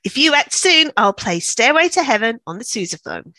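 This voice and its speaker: A woman's voice: on the high side, big pitch swings, moderate pace, sharp tone, no echo. A woman doing scripted comic banter as co-producer of an audio show.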